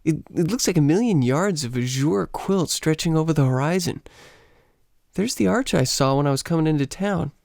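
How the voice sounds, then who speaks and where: An actor playing an eager, smitten American teacher on holiday in a radio play, boyish and halting in his enthusiasm. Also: fairly high pitch, lively intonation, gravelly, a little mellow, dry recording, clean studio sound. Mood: cheerful, admiring.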